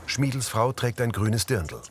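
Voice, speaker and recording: A man's voice: medium pitch, animated, unhurried, background noise. A man reading scripted audio description narration for a television series.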